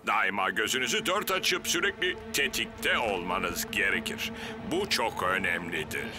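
Animated, earnest fire chief. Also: male voice, lowish voice, a little slow. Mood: lecturing. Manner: instructive, emphatic. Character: earnest, pompous fire station chief in a cartoon. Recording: cartoon music behind.

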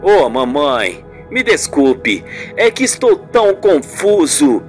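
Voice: mid-range voice, very animated, slow, soft background music. A performer voicing an upset, doubtful grown man, a son in a radio soap, plaintive and questioning. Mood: confused. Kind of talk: radio soap opera acting.

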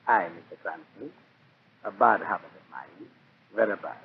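An actor playing a smug, genteel and sinister villain in a 1940s radio drama, with mock-courteous, gloating formality. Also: male voice, fairly low pitch, moderate pace, gravelly. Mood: oily politeness. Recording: noisy, tinny 1940s radio recording.

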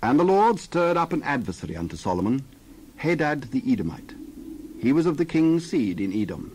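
A man narrating scripture as a scripted dramatized reading, measured and solemn, with careful phrasing. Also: medium pitch, big pitch swings, darkish tone, slight background noise.

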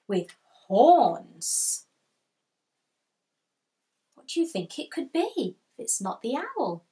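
A woman in her thirties reading a picture book aloud to children, expressive and deliberate, as a storytime host. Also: mid-range voice. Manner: expressive, deliberate, asks listeners questions. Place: small furnished room indoors with bookshelves.

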